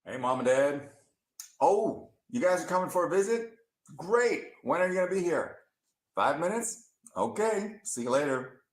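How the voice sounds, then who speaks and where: A man's voice: fairly high pitch, expressive, normal speed. A man playing a grown son chatting on the phone with his parents, repeating his words. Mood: cheerful. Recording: roomy echo from a played clip.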